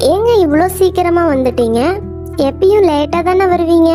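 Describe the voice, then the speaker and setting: A woman's voice: very high-pitched, lively intonation, moderate pace. A woman voicing an anxious, apologetic young wife in a cartoon story who speaks in a pleading way, with music playing behind. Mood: placating. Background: background music.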